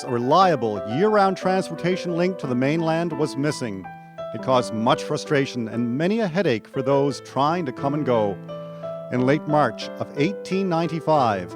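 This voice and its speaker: A man giving scripted historical narration for a radio drama. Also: medium pitch. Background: faint music.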